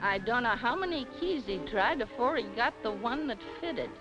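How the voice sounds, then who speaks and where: A woman's voice: fairly high pitch, animated, moderate pace, close to an everyday voice. An actress in a 1940s film playing a talkative, indignant working-class woman with colloquial grammar and a dramatic way of speaking. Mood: dramatic recounting. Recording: dull 1940s film soundtrack with music.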